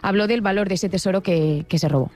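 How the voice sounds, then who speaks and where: A woman's voice: mid-range voice, fast talker, dark tone, soft background music. A woman with an accent from Spain, co-hosting a radio programme in a conversational style. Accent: Spanish from Spain. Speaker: radio co-host.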